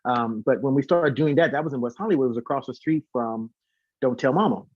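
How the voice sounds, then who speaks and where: A man's voice: medium pitch, fast talker, dry recording. Talkative man in dull, lo-fi audio. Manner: talkative, informal, emphatic repetition. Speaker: guest, singer and concert organizer. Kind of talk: casual interview chat.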